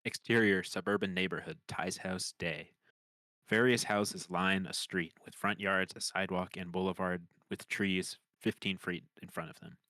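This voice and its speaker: Deep-voiced man reading stage directions. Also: steady tone, normal speed, pushed and bright, no echo. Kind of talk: scripted screenplay narration at a table read.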